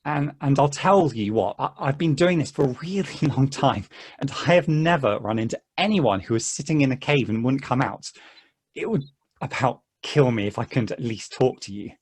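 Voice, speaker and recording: A man plays a friendly male hiker in a stage play reading. His voice sits at a middle pitch. He is eager and courteous, chatting haltingly and with a chuckle, earnest about befriending whoever he meets. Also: animated, slightly rough. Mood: earnest, pleading. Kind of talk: stage play table read.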